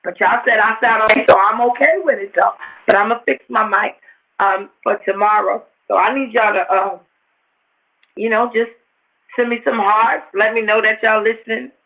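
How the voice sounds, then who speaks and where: A woman hosting an informal motivational livestream, slightly hoarse and raspy, speaking emphatically and repeating phrases to drive her points home. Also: on the high side, steady tone, normal speed. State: a little hoarse. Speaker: host of a motivational talk show. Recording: tinny, phone-like narrow-band livestream audio.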